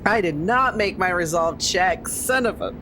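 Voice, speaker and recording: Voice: medium pitch, expressive, measured pace. A tabletop game player chatting casually at the game, calling out dice results and reactions. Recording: noisy recording with clear background noise.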